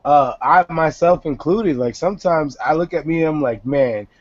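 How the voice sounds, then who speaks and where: Animated young man from New on a call. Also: moderate pace, no echo. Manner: animated and profane, swings between low and high voice. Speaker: host. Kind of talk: casual chat on a video call. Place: small home room indoors. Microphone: headset on a video call. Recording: lo-fi video call audio.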